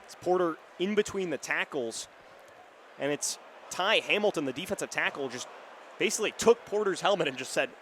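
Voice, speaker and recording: A man's voice: a little high, expressive, brisk, a bit bright. A young man doing live radio play-by-play commentary of an American football game. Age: young adult. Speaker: radio play-by-play sports announcer. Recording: live stadium booth, clear background noise. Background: stadium crowd and outdoor ambience.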